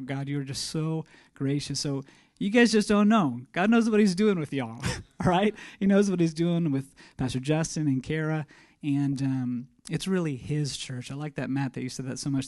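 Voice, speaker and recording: Man, missionary guest; casual, folksy church talk, slightly raised pitch. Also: a little mellow, dry recording, studio quality. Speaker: missionary speaker.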